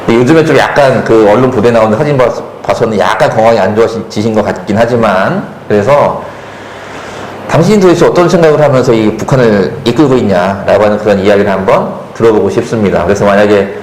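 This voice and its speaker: A man's voice: lowish voice, quick pace. Echoey man moderating a panel talk. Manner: relaxed, jokey, lots of fillers and affirming hums. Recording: echoey hall with faint noise.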